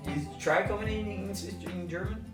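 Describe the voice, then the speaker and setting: A man's voice: high-pitched, over loud music. A man from Brazil co-hosting a comedy podcast, teasing and joking in casual chat. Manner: joking, teasing, quick laughs. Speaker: podcast co-host. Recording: roomy, distant mic.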